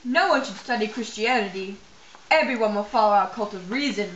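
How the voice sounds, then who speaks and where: A woman's voice: mid-range voice, fairly quick, natural voice. Woman as a scheming puppet tyrant, echoey room. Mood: gloating. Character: scheming, gloating male revolutionary tyrant in a puppet show. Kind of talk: amateur school puppet show acting. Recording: echoey, dull, slightly noisy amateur recording.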